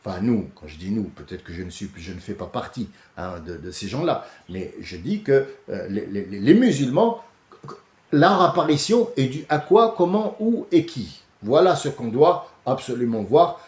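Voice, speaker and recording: A French man hosting a casual monologue, animated and often restarting, rising to heated outbursts. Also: medium pitch. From France. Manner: many restarts and hesitations. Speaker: host. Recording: echoey room.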